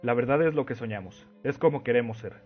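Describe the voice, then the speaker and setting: A man's voice: mid-range voice, a little fast, slightly rough, lightly acted. A man playing a stern Soviet censor in a radio play, scolding and pompous. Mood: lofty reproach. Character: stern, indignant Soviet censor, self-righteous official. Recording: muffled lo-fi recording with music.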